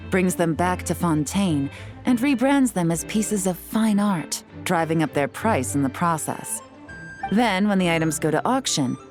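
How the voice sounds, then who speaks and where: A woman voicing a calm, composed female intelligence agent who explains things in a measured, dry way, in a video game. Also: lowish voice. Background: soft game music.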